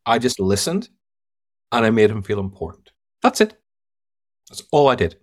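A man's voice: mid-range voice, very animated, normal speed. A man with an Irish accent, a guest on a podcast interview, telling stories emphatically and giving blunt verdicts. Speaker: guest sales trainer.

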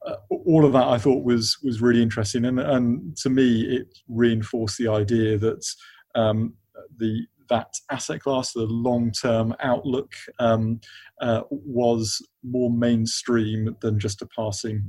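A man giving unscripted, measured answers in a business interview, often repeating words as he feels his way through a sentence. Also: mid-range voice, a little mellow, slightly rough. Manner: halting, repeats words as they search for phrasing. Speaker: interview guest, investment funds expert at a law firm.

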